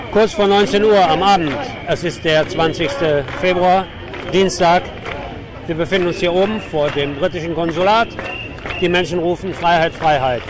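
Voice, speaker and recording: A man with a fairly high voice reports for radio from a city street. Clear traffic noise surrounds him. His delivery is that of a live protest report, laced with opinionated, sardonic commentary. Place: outdoors on a city avenue at a demonstration.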